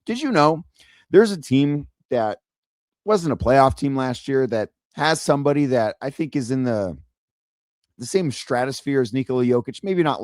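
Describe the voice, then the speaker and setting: A man with a hoarse, raspy voice hosting a sports talk show, building his points with rhetorical questions. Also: mid-range voice, a little mellow, no room sound, clean studio sound. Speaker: podcast host.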